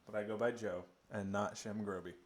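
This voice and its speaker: A man's voice: fairly low pitch, flat delivery, brisk. A man in his twenties co-hosting a podcast in a casual, conversational way. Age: 20s. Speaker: podcast co-host. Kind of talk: casual chat.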